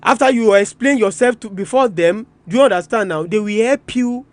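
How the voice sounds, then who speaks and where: A man with a Nigerian Yoruba accent presents an educational radio talk in a strikingly high-pitched voice. He addresses listeners directly, restating points with emphasis. Music plays clearly behind him. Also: a little fast. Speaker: radio programme host. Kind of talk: live educational radio talk, switching between English and Yoruba.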